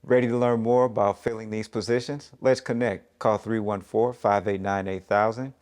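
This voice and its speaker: A man's voice: on the low side, steady tone, normal speed, a little mellow. A man hosting a local TV interview, talking informally with his guests and giving short affirmations. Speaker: show host interviewer.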